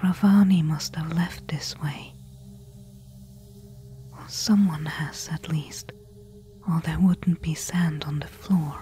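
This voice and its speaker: A woman playing a careful, eager temple explorer in a soft-spoken ASMR audio roleplay, hushed and deliberate. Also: sits low, fairly even intonation, a little hiss or hum. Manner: hushed, slow, deliberate whispering.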